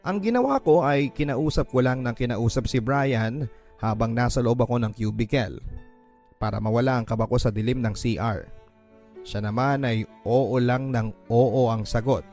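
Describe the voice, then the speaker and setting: A man's voice: medium pitch, expressive, moderate pace, a little mellow. A man with a Filipino accent narrating a first-person letter as dramatic radio storytelling. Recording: background music.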